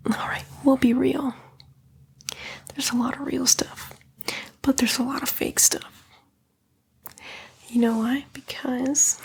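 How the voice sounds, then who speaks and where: A woman's voice: high-pitched, darkish tone, full character voice. A woman voicing a sly, sassy female demon in a whispered ASMR roleplay, coaxing and flippant, with disdainful slang. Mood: persuasive, sly. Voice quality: breathy, mostly whispered.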